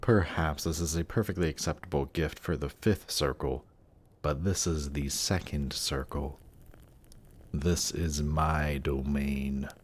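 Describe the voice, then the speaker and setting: A male actor performs a suave demon prince in a fantasy roleplay drama. His voice is exceptionally deep and he takes his time over every phrase. He sounds polished and regal, with a measured, condescending courtliness. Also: fairly even intonation. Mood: coolly offended. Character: suave, haughty demon prince ruling a circle of Hell.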